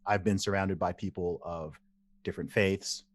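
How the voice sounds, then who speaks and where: Low-voiced man telling stories animatedly. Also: moderate pace. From England. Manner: animated storyteller, repeats phrases, laughs easily. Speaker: podcast guest. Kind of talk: casual interview conversation.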